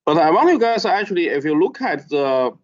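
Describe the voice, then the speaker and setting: A middle-aged man lecturing a class, with a halting manner and constant "okay?" checks. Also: on the high side, sing-song. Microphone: video call. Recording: dull lo-fi video call audio.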